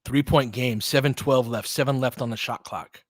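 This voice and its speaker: Male fan commentator, mid-pitched, dry recording. Clipped live hoops play-by-play, openly partisan, slangy. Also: fairly even intonation, moderate pace, dry recording.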